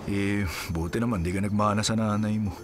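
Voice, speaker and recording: An actor with a Filipino accent playing a smooth, evasive policeman husband in a casual, teasing radio drama style. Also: lowish voice, fairly even intonation, brisk, music in the background, natural voice. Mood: flustered. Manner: casual, teasing, feigns innocence.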